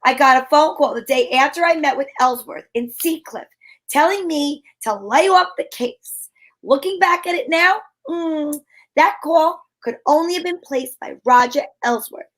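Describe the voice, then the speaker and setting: A woman playing a sharp-witted, wisecracking private detective in an old-time radio drama, talking in breezy slang. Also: sits high, a little slow. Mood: wry, explaining.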